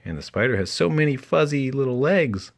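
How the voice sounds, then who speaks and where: A man's voice: mid-range voice, lively intonation, brisk, gravelly, pitched above the performer's own voice. Curious, wide-eyed little fawn, read by a man. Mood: wondering. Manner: exclaiming, surprised questioning.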